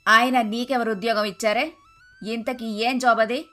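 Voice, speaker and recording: A woman playing a traditional, domineering and sharp-tongued older mother-in-law in a cartoon moral story. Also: fairly high pitch, fairly even intonation, moderate pace. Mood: stern, scolding. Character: bossy, traditional, scolding older mother-in-law and household matriarch. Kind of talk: dramatised moral story dialogue. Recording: music behind the voice.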